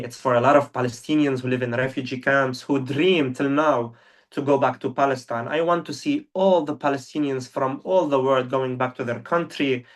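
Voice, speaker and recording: Young male guest, non-native English, earnest, fillers and restarts, distant mic. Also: mid-range voice. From Lebanon. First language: Arabic. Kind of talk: casual interview talk. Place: now living in Germany.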